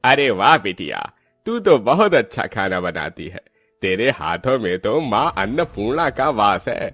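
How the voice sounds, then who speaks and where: A man's voice: on the low side, big pitch swings, measured pace, a bit bright, heavily acted. A man playing a kindly older priest in a cartoon story, with an avuncular, encouraging manner. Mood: approving, persuasive.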